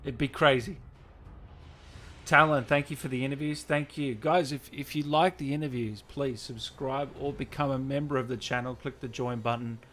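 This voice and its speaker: A man's voice: mid-range voice, fairly even intonation, normal speed, fairly bright tone, faint music behind. A man hosting a casual live stream, reading out comments and thanks in an enthusiastic, matey, informal way.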